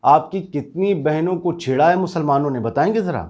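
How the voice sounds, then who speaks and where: A reasonable, probing everyman, played by a man in a satirical sketch. His voice is a little high for a man, and he questions earnestly with a sardonic edge. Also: moderate pace, darkish tone, natural voice. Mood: challenging. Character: reasonable, probing office worker challenging a bigot. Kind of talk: scripted satirical dialogue.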